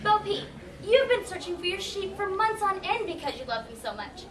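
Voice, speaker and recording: Woman as a very high-pitched awards show hostess. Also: sing-song, normal speed. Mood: presentational. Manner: announcer-like, presentational. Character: peppy fairy-tale awards show hostess. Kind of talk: youth stage comedy acting. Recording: echoey hall from far off, audience noise.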